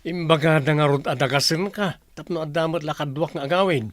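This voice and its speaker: Man acting a stubborn husband, higher-pitched voice. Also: brisk, no room sound, clean studio sound, lightly acted. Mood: casual. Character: stubborn hard-drinking village husband. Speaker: actor in a radio drama. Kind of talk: Ilocano comedy radio play acting.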